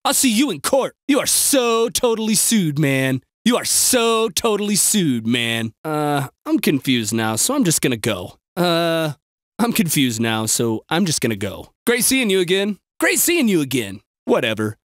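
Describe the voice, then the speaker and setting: Snarky young guy with wildly swinging pitch. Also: measured pace, no room sound, clean studio sound. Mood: dismissive. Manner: sarcastic, exaggerated, swinging from flat to frantic. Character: snarky, crude, jumpy young male city bystander. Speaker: video game voice actor. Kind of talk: game bystander voice lines.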